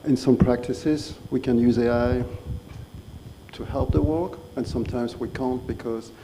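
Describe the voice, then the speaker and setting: A man speaking as a conference panelist, measured and deliberate, posing pointed questions. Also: mid-range voice, fairly even intonation, noisy background. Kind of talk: conference discussion. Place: conference hall.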